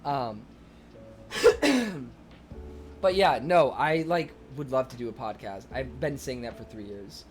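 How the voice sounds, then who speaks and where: A young man streaming, chatting casually and excitably with viewers, rambling with lots of fillers. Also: mid-range voice, slow, long pauses, music in the background. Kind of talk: casual chat reading viewer comments.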